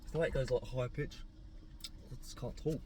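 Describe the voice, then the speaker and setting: A man's voice: mid-range voice, a little fast, dark tone, a little hiss or hum. A young man talking casually to camera from his parked car, rambling and laid-back, with a sore throat that makes his voice crack. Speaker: car vlogger.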